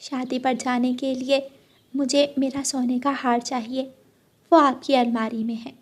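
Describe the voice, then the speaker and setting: A woman's voice: high voice, flat delivery, measured pace. A woman voicing a meek young daughter-in-law bird in a children's cartoon, polite, submissive and pleading. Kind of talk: Hindi children's cartoon story voice acting.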